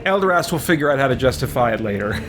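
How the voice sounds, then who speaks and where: A man's voice: mid-range voice, animated, racing. Man thinking aloud over game music. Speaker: let's play host. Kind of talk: casual gameplay commentary.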